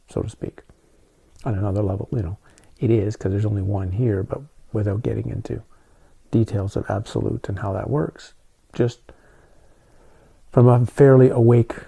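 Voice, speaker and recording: A middle-aged man talking calmly and soothingly in a reflective monologue, often restarting his sentences. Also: mid-range voice, vocal fry, breathy edge. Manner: calm, soothing delivery with frequent restarts. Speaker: spiritual talk host.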